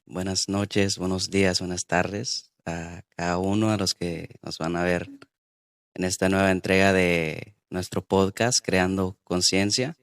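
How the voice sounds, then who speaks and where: A man from Guatemala, hosting a church podcast and reading scripture aloud, pausing often on drawn-out fillers. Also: deep voice, fairly even intonation, smooth, no echo, clean studio sound. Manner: hesitant, many drawn-out filler pauses. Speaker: podcast host.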